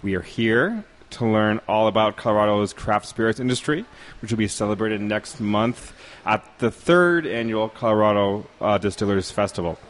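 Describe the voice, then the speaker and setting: A man's voice: lowish voice, moderate pace. A man hosting a casual podcast from a pub at a distillery, joking loosely and using many fillers. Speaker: podcast host.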